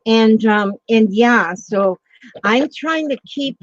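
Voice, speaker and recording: A woman's voice: on the high side, slow pace, dry recording. A woman hosting a casual livestream chat, rambling along with frequent ums and greeting viewers by name. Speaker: livestream host. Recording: dull lo-fi sound.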